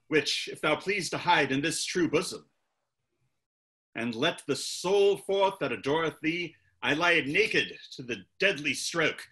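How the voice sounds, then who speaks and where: A man's voice: fairly high pitch, sharp tone, heavily acted. A man playing a passionate, hot-tempered young Elizabethan playwright who declaims archaic verse. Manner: declaims archaic verse, swings to furious hissing. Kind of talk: audio drama acting.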